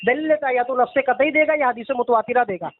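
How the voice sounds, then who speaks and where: A man's voice: very high voice, fast talker. A man arguing in a religious debate on a phone call, firm, repetitive and objecting. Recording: tinny, band-limited phone call recording.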